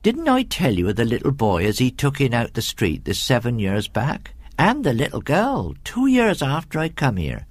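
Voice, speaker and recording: A man's voice: medium pitch, huge pitch swings, moderate pace, hardly any pauses, breathy edge, no echo. A man voicing a kindly, chatty older housekeeper woman with folksy servant speech in a story. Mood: chatty, wondering. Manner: chatty, folksy, dialect grammar.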